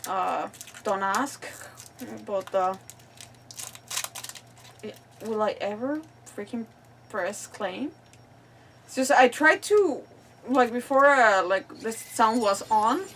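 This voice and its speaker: Female streamer, higher register, loose unscripted chatter, apologetic and self-mocking. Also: distant mic, a little hiss or hum. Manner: rambling, self-deprecating, apologetic. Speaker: livestream host. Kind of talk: casual live stream chat.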